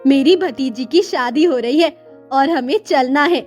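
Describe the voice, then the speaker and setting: A humble poor sister from a cartoon fable, acted by a woman, with a high voice. She is earnest, pleading and devoted, over faint music. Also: unhurried, darkish tone. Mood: happy, hopeful. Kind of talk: Hindi moral-story cartoon dialogue.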